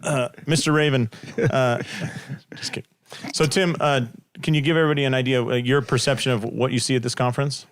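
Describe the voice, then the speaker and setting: A man's voice: mid-range voice, fairly even intonation, fairly quick, hardly any pauses. A man hosting a podcast, chatting casually and teasing his guest in a playful way. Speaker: podcast host and interviewer. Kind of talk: casual candid interview chat.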